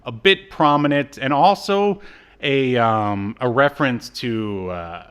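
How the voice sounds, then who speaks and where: A man co-hosts a podcast in a casual, conversational way. His pitch sits in the middle, and he recounts things with wry, sarcastic asides. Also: animated, slow pace, a bit bright, clear, resonant tone. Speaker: podcast co-host. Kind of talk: casual episode recap.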